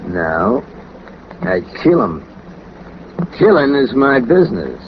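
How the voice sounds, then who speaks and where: A man's voice: medium pitch, big pitch swings, slow. An actor playing a lonely, businesslike hired killer in 1940s radio crime drama, talking in casual, ungrammatical tough-guy fashion with matter-of-fact menace. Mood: chatty, coolly menacing. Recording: dull, noisy old 1940s radio recording.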